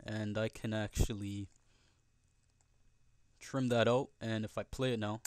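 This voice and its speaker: A man narrating a software tutorial casually and conversationally, often checking in with the listener, recorded as a close voice-over. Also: on the low side, fairly even intonation, a little slow, smooth, no room sound. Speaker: tutorial host.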